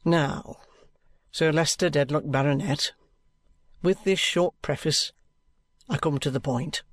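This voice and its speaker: A knowing, unhurried police detective, voiced by a male reader, steady and persistent as he presses his point. The voice sits a little high for a man and sounds close and dry. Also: dry recording. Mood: patient, insistent. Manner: steady, persistent, respectfully insistent. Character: shrewd, patient middle-aged police detective. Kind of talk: audiobook character reading.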